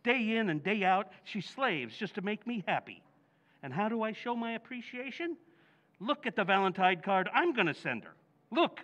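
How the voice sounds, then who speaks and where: A man's voice: high voice, big pitch swings, normal speed, bright, forceful tone, highs cut off, full character voice. A man acting a blustery, blundering middle-aged working-class father in an old-time radio comedy, with a folksy manner. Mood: blustery, advising. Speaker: stage actor in radio recreation. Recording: reverberant hall.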